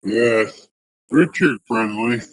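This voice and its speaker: Bigfoot for an audio play, male actor: gentle, shy giant, slow broken speech, dry sound. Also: mid-range voice, dry recording. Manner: broken, childlike grammar. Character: gentle simple-spoken Bigfoot, a shy giant wild creature.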